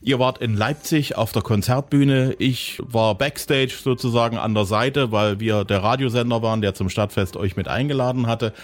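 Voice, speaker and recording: A man's voice: mid-range voice, moderate pace, few pauses. A middle-aged man from East Germany hosting a podcast interview, chatty and enthusiastic as he reminisces. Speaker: podcast host. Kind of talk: casual interview chat.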